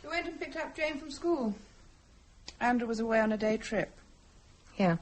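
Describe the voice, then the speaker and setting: An actress playing a suspicious, guarded wife with terse, questioning delivery in a radio play. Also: female voice, a little high, expressive, moderate pace, natural voice. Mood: cool, suspicious.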